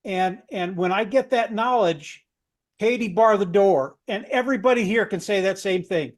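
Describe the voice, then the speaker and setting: A man's voice: high-pitched, normal speed. A man on a panel giving an enthusiastic testimonial in a folksy way, restarting phrases for emphasis.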